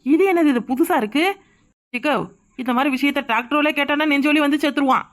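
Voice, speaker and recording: A woman playing a sharp-tongued confidante who talks high and fast with open scorn. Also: lightly acted. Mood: scornful. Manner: scornful, scheming. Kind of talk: village family comedy skit.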